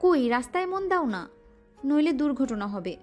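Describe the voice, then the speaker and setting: A woman's voice: sits high, big pitch swings, normal speed, darkish tone. A female voice actor playing a shy, teasing young wife in an audio romance drama. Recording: music bed underneath.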